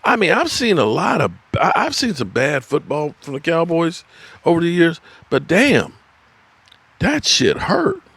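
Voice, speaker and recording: Animated man in his fifties, live-stream host. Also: fairly high pitch, unhurried, husky, no echo. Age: 50s. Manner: rambling, swearing, laughing at viewers' comments. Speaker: sports channel host. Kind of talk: live-stream sports talk, reading chat. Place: at his desk, indoors.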